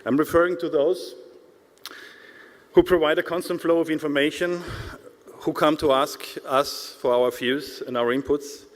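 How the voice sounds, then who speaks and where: A man from Austria delivering a formal, prepared address as director of an industry association at an awards event. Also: fairly high pitch. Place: large indoor event hall, speaking to an audience. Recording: echoey hall, long reverb.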